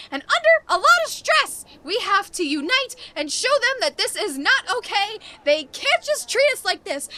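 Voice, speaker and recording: A woman's voice: very high-pitched, pushed and bright, exaggerated voice. A woman playing a flustered, overworked young amusement park employee in a comedy audio drama, indignant and stammering as she pleads her case.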